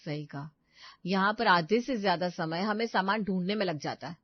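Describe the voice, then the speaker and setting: A woman's voice: on the low side, normal speed, no room sound, close to an everyday voice. A woman acts out an aggrieved young wife of a crowded household in a story. She is plaintive and emphatic, recorded dry and a little muffled. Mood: frustrated. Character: harried, aggrieved elder daughter-in-law in a crowded household. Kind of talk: dramatised family story.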